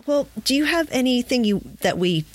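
A woman hosting an interview, speaking reflectively and a little tentatively, pausing between phrases as she forms her thoughts. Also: high-pitched, expressive, slight background noise. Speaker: podcast host. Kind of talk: conversational interview, reflective commentary.

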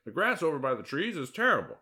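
A man's voice: a little high, huge pitch swings, fast talker, bright, forceful tone, pitched above the performer's own voice, full character voice. A man voicing Joey, a stubborn, contrary male zebra.

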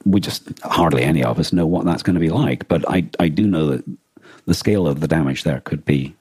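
A man co-hosting a podcast in reflective conversation, speaking earnestly in halting, emphatic phrases. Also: rumbling low, a little mellow, breathy edge, no room sound. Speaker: podcast co-host.

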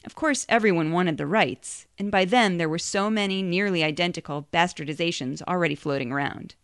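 A woman's voice: on the low side, brisk, smooth, no echo, studio quality. A woman narrating a short story in a conversational first-person style.

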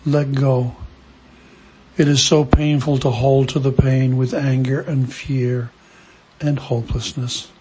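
A man leading a guided meditation, speaking gently in short phrases with long pauses between them. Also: mid-range voice, level tone, a little hiss or hum.

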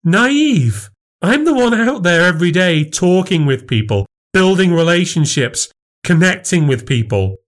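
A man's voice: mid-range voice, steady tone, unhurried, few pauses, natural voice. A man voicing an indignant, sincere wife in audiobook dialogue, exasperated and defensive.

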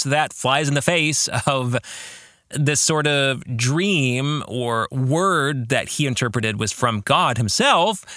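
A man's voice: on the high side, pushed and bright, no echo, clean studio sound. A man hosting a podcast as a theology teacher, speaking in an academic, emphatic way as he explains ideas and reads aloud. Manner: academic, emphatic, asks the listener reflective questions. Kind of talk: book discussion podcast, teaching and reading quotations aloud.

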